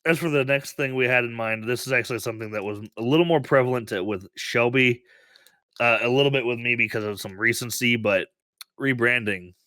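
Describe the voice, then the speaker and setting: A man's voice: medium pitch, fairly quick, bright, forceful tone, no echo. A man co-hosting a casual podcast while sick, laughing often and restarting his sentences. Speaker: podcast co-host.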